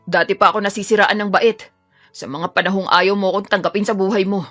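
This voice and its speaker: A woman voicing a menacing, obsessive male attacker in an audio drama, taunting, bitter and forceful. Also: medium pitch, steady tone, fast talker, soft background music, close to an everyday voice. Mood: pleading, bitter.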